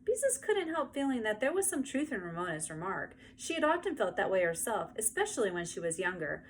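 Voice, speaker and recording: A woman narrating a children's book aloud in an expressive storytelling style. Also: medium pitch, brisk. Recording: faint background noise.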